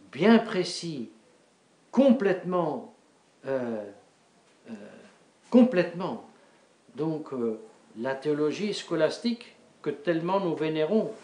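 A middle-aged male priest preaching a sermon in a small chapel, halting and repeating words with many fillers. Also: fairly high pitch, a little mellow. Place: small wood-panelled chapel before an altar. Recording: echoey chapel.

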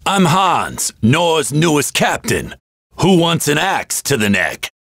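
Gravelly, brutish axe-wielding captain. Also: male voice, a little high, very animated, fairly bright tone, no room sound, studio quality, exaggerated voice. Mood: menacing, boastful. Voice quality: gravelly, rough. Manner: menacing, swaggering, slow delivery. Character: ambitious brutish axe-wielding male captain, bullying and ruthless. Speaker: video game voice actor. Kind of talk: video game character voice clips.

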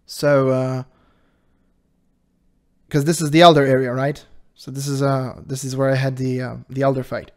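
A man with a high-pitched voice hosting his channel, giving casual commentary in an animated, defensive way and laughing often. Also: normal speed, pauses often. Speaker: channel host reacting to a video.

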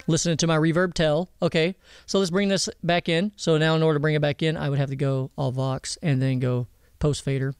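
Fast-talking man teaching audio mixing. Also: a little high, flat delivery, smooth, dry recording, clean studio sound. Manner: informal, explanatory, addresses viewers directly. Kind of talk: casual screen-recorded software tutorial. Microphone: close voice-over mic over screen recording.

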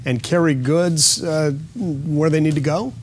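A middle-aged male anchor with a somewhat high voice delivers the news from a studio in a brisk, polished manner. He leads the guest with pointed questions. Faint noise sits behind him. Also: darkish tone. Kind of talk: newscast anchoring and interviewing.

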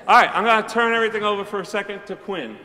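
A middle-aged man, a high school chorus director, chatting casually to a concert audience from the stage with plenty of ums and asides. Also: very fast, crowd noise, background noise. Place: large school auditorium stage. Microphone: mic on a stand, speaking from among the choir. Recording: roomy hall reverb with faint audience ambience.

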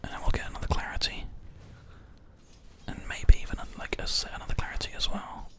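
Whispering ASMR gamer giving play-by-play. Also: brisk. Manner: hushed, unvoiced whispering. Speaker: host, gamer. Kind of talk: whispered ASMR game commentary. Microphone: close binaural microphone. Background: keyboard and mouse clicks.

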